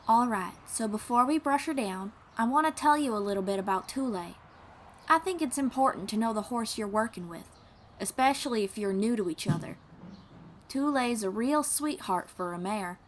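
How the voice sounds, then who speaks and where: A friendly young traveling bard played by a woman with a slightly high voice and a folksy drawl. She guides and reassures in an encouraging audio-roleplay style, over faint background noise. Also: lively intonation, normal speed, natural voice. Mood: patient, instructive. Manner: encouraging, reassuring, gently guiding. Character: friendly young female traveling bard who teaches riding. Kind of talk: second-person audio roleplay acting.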